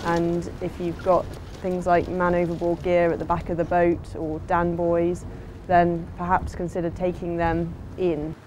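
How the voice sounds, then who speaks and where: A woman's voice: fairly low pitch, completely flat delivery, a little slow, hardly any pauses, a little mellow, slight background noise. A woman giving an instructional voice-over as a sailing instructor, in a plain, explanatory way.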